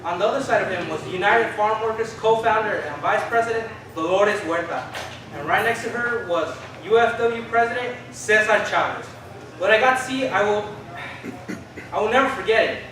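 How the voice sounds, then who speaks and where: A man's voice: high-pitched, normal speed, bright, forceful tone. A man reading the part of a spirited young Chicano activist, telling his story in slangy, theatrical monologue with Spanish words. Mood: awed, earnest. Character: Philip, spirited Chicano youth recalling 1970 activism. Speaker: stage reader in a documentary play. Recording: echoey room from far off, noisy.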